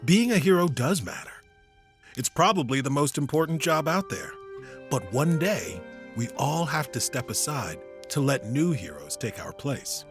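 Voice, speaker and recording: World-weary, commanding warrior hero. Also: male voice, medium pitch, sing-song, over music. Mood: weary, gentle. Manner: commanding, measured, formal delivery. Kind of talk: audio drama acting.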